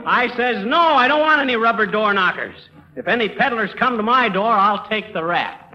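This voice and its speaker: Excitable, high-pitched American wisecracker. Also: male voice, normal speed, over music. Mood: wisecracking. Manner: folksy, excitable. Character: jumpy, wisecracking male homebody afraid of mice. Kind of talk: 1930s radio comedy acting. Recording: noisy, muffled 1930s radio recording.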